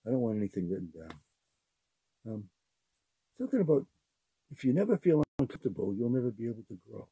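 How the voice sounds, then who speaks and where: A man's voice: medium pitch, sing-song, fast, long pauses, warm tone, slightly rough, no room sound, rough recording. An older man hosting his own podcast, talking earnestly off the cuff in a rambling way that repeats phrases. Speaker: podcast host. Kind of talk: unscripted heartfelt monologue.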